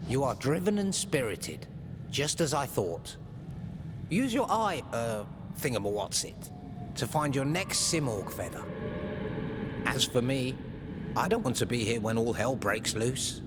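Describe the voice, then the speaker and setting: Eccentric game seer, theatrical, slow and lilting, widely varied pitch. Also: male voice, very animated, background noise. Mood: whimsical. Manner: whimsical, theatrical, lilting riddles. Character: eccentric male seer speaking in rhymed riddles. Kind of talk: video game voice acting.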